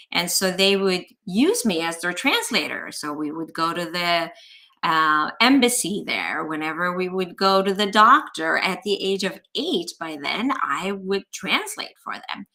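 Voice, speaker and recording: A middle-aged woman from Iran, a physician guest on an interview show, tells her story earnestly and reflectively. Her voice is bright and clear but sits on the low side for a woman, and she often uses "you know" and "um".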